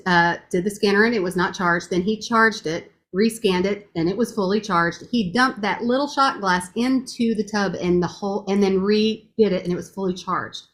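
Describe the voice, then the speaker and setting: A woman's voice: mid-range voice, fairly bright tone. A woman talks in a casual, gushing testimonial. Her voice is strong. She laughs often and keeps the talk chatty. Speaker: guest giving a testimonial.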